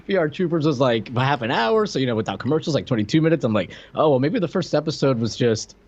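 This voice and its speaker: Male podcast co-host with a lively, swooping voice, heard on dull lo-fi audio. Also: medium pitch, fast talker. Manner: animated storytelling, laughs often. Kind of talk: casual chat. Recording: slightly muffled lo-fi audio.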